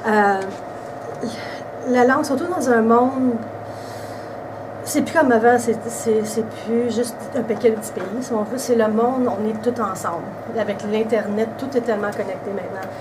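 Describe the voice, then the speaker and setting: Interviewee: woman in her 40s, québécois accent, relaxed chat, distant camera mic, hissy room. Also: fairly high pitch, moderate pace. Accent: Québécois French. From Quebec, Canada. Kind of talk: casual interview answers. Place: indoors by a brick wall.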